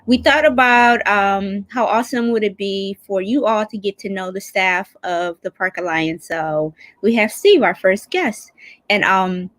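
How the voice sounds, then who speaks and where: A woman's voice: medium pitch, measured pace, a bit bright, no room sound. Enthusiastic woman hosting, close dry sound. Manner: enthusiastic, effusive. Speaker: online show host. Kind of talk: casual chatty interview.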